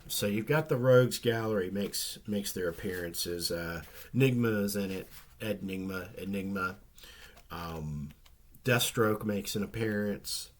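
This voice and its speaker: A man chatting casually about comic books, his voice a little rough and nasal from a recent flu, rambling with many ums and restarts. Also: lowish voice. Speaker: comic book vlogger. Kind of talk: casual chat.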